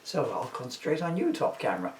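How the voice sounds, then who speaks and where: A man's voice: mid-range voice, very animated, brisk, slight background noise. Man reading comedy in an echoey room. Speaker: author-reader of a comedy book. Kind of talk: informal asides during a comic reading. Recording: roomy, echoey, distant microphone.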